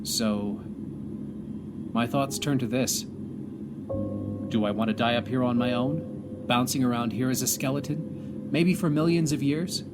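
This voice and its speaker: Man acting as stranded astronaut commander, audio drama monologue, reflective, hopeful, solemn, measured pauses, heavy musical backing. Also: medium pitch, over loud music, lightly acted. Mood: reflective, resolute. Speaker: narrator and lead of an audio drama. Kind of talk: dramatic first-person monologue.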